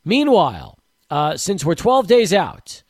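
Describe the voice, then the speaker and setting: A man hosts sports talk radio with a slightly raised pitch and an easy, chatty manner. He is close on a dry studio mic and sums up his guest's points with agreeable asides. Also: wildly swooping pitch, slow, a little mellow, slightly rough, no room sound. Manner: chatty, agreeable, leading questions. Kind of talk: radio interview.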